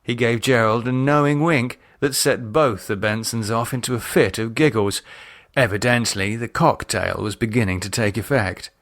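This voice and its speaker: A man's voice: medium pitch, normal speed, a bit bright. A man reading a novel as scripted narration. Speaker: author and audiobook narrator.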